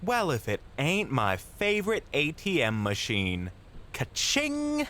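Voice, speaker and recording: Guy doing a cocky mugger punk, bullying n slangy, takes his time, pitch all over, audio drama. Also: wildly swooping pitch, takes it slowly, fairly bright tone, a little hiss or hum, full character voice. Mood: cocky, menacing. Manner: taunting, slangy, pushy. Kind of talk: audio roleplay dialogue.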